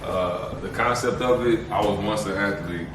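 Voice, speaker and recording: A man's voice: on the low side, normal speed. A man from Nigeria whose first language is Igbo, talking in a laid-back, slangy way on a podcast panel. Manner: laid-back, slangy, talks freely at length. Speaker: panel guest. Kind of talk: casual panel chat. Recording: echoey room, mic at a distance, noisy.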